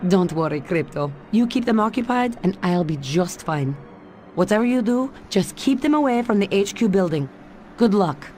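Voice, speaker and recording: A woman's voice: medium pitch, lively intonation, normal speed, a little mellow, slight background noise, lightly acted. A woman voicing a capable Russian secret agent in a video game, with a Russian accent and a composed, teasing manner. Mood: reassuring, firm. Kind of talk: video game cutscene acting.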